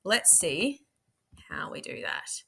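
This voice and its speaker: Bright-voiced woman giving a casual tutorial. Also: mid-range voice, big pitch swings, slow, breathy edge. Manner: chatty, talks through each step aloud. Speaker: teacher presenting a software tutorial. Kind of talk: casual instructional screen-share demo. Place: indoor room at a computer.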